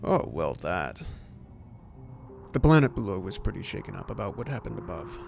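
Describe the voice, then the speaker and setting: A man's voice: deep voice, big pitch swings, normal speed, vocal fry, darkish tone, faint music behind, exaggerated voice. An actor playing a weary, modest inventor who narrates his story in a hesitant manner. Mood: narrating. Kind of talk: fan audio drama narration.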